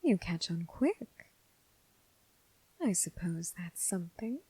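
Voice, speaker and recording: A woman playing a commanding, teasing, coolly amused mob boss in an ASMR roleplay, husky and breathy, speaking with deliberate, condescending pauses. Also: sing-song, dark tone, no echo, full character voice, low-quality recording. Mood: cool, menacing. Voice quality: husky, breathy, low, creaky. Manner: slow, deliberate, purring condescension with drawn-out pauses. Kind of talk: ASMR roleplay, scripted character acting.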